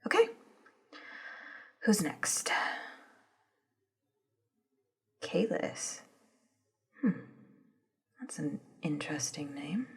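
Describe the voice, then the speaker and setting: Woman doing a polite eager assistant gal, kinda low husky soft voice, murmury, asmr roleplay. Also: lively intonation, takes it slowly, pauses often, exaggerated voice. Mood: absorbed, murmuring. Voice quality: soft, breathy. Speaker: voice actor in scripted ASMR roleplay.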